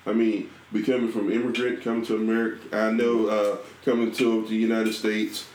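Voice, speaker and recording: A man's voice: fairly low pitch, little pitch movement, fairly quick, darkish tone, a little hiss or hum. A man co-hosting a podcast, talking to the guest in an earnest, motivational way. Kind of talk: casual chat. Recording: roomy echo.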